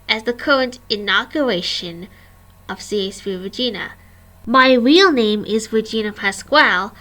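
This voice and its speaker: A woman's voice: a little high, bright, forceful tone, poor audio. A woman in her twenties, a vlogger reading a scripted history and reciting long lists of names and dates. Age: 20s.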